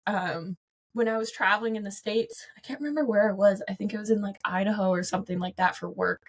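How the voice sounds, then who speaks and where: A woman's voice: medium pitch, fast talker. A woman from Vancouver, a guest on an interview show, talking casually in a Canadian accent with a slight Southern twang, bubbly and giggly with lots of "like.". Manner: giggly, bubbly, many "yeah" and "like". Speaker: guest, photographer and artist.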